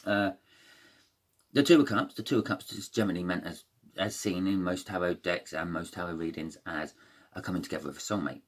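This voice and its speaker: Low croaky guy doing a laid-back tarot read, rambly and hesitant. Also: fairly quick. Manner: rambling, hesitant, many fillers and restarts. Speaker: tarot reader. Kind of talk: casual tarot card reading.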